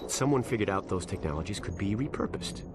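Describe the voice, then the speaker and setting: An actor playing a calm, fanatical scientist villain in a video game, musing in a condescending, self-satisfied monologue. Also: male voice, fairly low pitch, fast talker, very noisy, natural voice. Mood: smug, proud. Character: smug, calm, fanatical male scientist villain justifying mass death. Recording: loud music under the voice.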